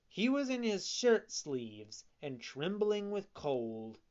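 A narrator voicing a sly, scornful fox swindler in a children's story, smooth-talking and insinuating. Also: mid-range voice, big pitch swings, slow pace, darkish tone, lo-fi sound, voice pushed up. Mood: sly, friendly.

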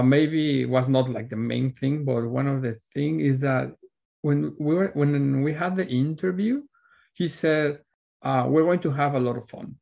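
Creaky-voiced Mexican man in an interview. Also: medium pitch, darkish tone. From Mexico City, Mexico. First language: Spanish. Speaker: interview guest, PhD student. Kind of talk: casual interview answers.

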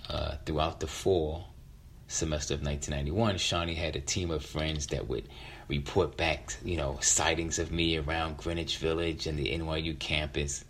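Low-voiced middle-aged man telling a story. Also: fairly even intonation, moderate pace, a bit bright, a little hiss or hum. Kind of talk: casual personal storytelling.

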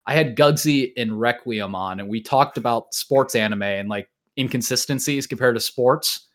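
A man's voice: mid-range voice, moderate pace, fairly bright tone. Rambling, self-deprecating male podcast host. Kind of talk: casual podcast chat.

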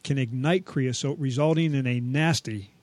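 A man's voice: medium pitch, moderate pace, a little mellow, no echo. A man co-hosting a home-improvement radio show, trading scripted tips and banter with his partner.

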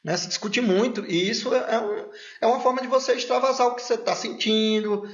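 A man's voice: sits high, brisk. A Brazilian man hosting a podcast, chatting casually and agreeably, chiming in with short replies. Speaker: podcast host. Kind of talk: casual interview chat. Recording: echoey room, dull lo-fi sound.

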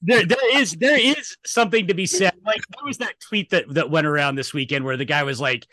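Guy on a movie podcast, slightly high bright voice, dry sound, blunt and sweary. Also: animated, a little fast, dry recording. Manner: blunt, profane. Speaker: podcast co-host. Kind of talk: casual film chat.

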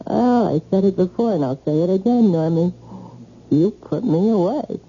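A woman's voice: on the low side, lively intonation, a little slow, warm tone. Drawling, brassy radio-drama call girl. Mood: coy. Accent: American. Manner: slangy, drawling endearments. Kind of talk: old-time radio drama acting. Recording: muffled, noisy old radio recording.

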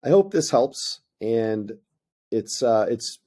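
A man's voice: medium pitch, animated, takes it slowly, mellow. A man in his thirties with a Northern US accent, an English teacher and podcast co-host talking earnestly and restarting his phrases. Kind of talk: reflective monologue.

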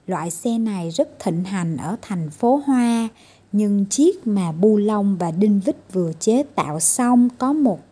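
A woman's voice: mid-range voice, mellow, slightly rough. A woman storyteller reading a children's fairy tale as scripted narration.

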